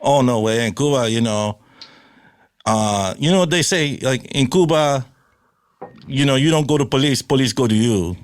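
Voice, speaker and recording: A man playing an earnest Cuban baseball pitcher, speaking halting broken English with a Cuban Spanish accent. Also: dry recording, lightly acted. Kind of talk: improvised character comedy.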